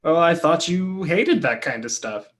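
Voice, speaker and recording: A man acts out a cautious, buttoned-up screenwriter in a stage drama. His voice sits a little high for a man. He is polite but guarded and hesitant, with exasperation close beneath. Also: lively intonation, unhurried, a bit bright. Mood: puzzled. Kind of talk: play reading, stage drama dialogue.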